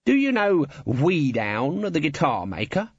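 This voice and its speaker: A man's voice: fairly high pitch, huge pitch swings, unhurried, husky, no room sound, dull audio, pitched above the performer's own voice, full character voice. A man voicing an irritable, domineering guild boss in a storybook reading, growling and sarcastic. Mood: menacing, sarcastic.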